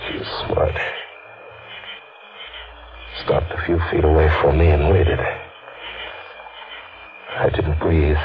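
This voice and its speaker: An actor playing a bitter, desperate, dying killer in a 1940s radio thriller, speaking in terse hard-boiled phrases. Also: male voice, very deep voice, gravelly, full character voice. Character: dying male killer, bitter and desperate, narrating his end. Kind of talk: 1940s radio thriller acting, first-person narration. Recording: noisy, muffled old radio broadcast.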